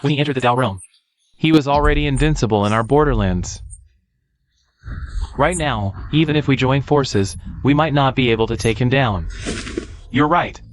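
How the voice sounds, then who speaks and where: A man playing a grave, humble and deferential sect follower in a dubbed animated comic. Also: medium pitch, normal speed, pauses often, a little hiss or hum, lightly acted. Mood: grave, worried.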